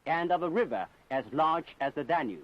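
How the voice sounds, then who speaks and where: A man's voice: a little high, expressive, normal speed. A man narrating a scripted propaganda documentary in a solemn, emphatic, declamatory manner. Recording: dull 1960s film soundtrack.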